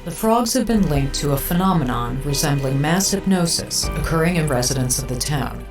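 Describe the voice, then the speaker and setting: A woman playing a solemn archivist, narrating a fictional audio encyclopedia in a measured, formal documentary manner. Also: low voice, animated. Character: solemn female archivist narrating an eerie small-town mystery. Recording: reverberant, distant, under music. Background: music.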